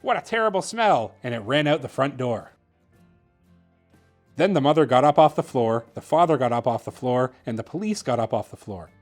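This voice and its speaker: A man hosting and narrating a children's bedtime story in an expressive, theatrical way. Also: medium pitch, moderate pace, faint music behind. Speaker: host reading children's books aloud.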